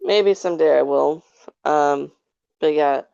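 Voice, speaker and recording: A woman's voice: sits low, measured pace, creaky, warm tone, smooth, no room sound. A woman chatting casually in a podcast discussion, speaking in a tentative, hedging way. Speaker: podcast panelist. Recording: slightly dull, lo-fi audio.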